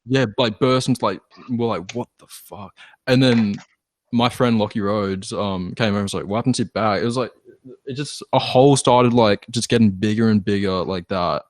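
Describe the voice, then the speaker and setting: A man's voice: on the low side, normal speed. A young Australian man chatting casually and telling anecdotes in a rambling, slangy way. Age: young adult. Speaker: band member and podcast guest. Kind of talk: casual group chat, anecdotal storytelling.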